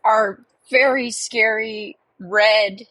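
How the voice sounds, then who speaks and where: A woman playing an anxious, prudish, suspicious caller to a comic advice show, speaking softly and hesitantly with earnest, dramatic pauses. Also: on the high side, steady tone, no echo, full character voice, low-quality recording. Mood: embarrassed, alarmed. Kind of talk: improvised comic call-in radio show.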